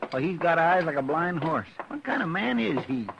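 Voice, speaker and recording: An actor playing a loyal, plain-spoken frontier deputy with a folksy drawl and country grammar, in a vintage radio drama. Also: male voice, fairly high pitch, big pitch swings, moderate pace, creaky. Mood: earnest folksy. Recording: dull, hissy old radio broadcast.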